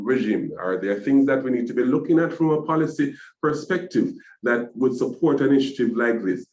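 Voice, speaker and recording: A man hosting an online business panel, halting and restarting phrases with small chuckles. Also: mid-range voice, reverberant, poor audio. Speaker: webinar host, business agency executive. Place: video call.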